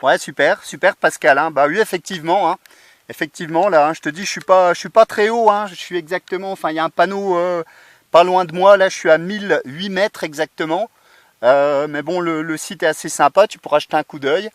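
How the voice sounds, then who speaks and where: A man's voice: high voice, a little fast, no echo. A man, an amateur radio operator, chatting casually outdoors into a portable set's microphone. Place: outdoors in a field under a tree. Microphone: portable radio transceiver microphone.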